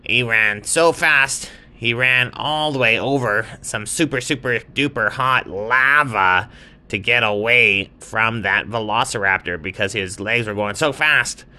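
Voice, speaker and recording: A man voicing a chatty, friendly lizard for a children's bedtime story, with drawn-out, emphatic and repetitive phrasing. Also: medium pitch, pushed and bright. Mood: eager, proud. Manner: drawn-out, emphatic, repeats words. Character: speedy chatty friendly lizard proud of his family.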